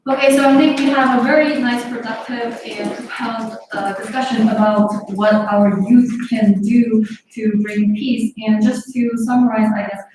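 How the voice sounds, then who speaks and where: A woman's voice: a little high, fairly even intonation, moderate pace, few pauses, a little mellow. A young woman moderating a panel discussion, summarizing points with frequent fillers and switching between two languages. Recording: echoey and distant with noticeable background noise.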